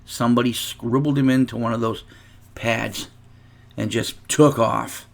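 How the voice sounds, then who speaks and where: Gravelly man telling a rambling story. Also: medium pitch, fairly even intonation, a little slow. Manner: chatty, rambling anecdote with asides and restarts. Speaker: guitar reviewer. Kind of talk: casual unboxing vlog storytelling.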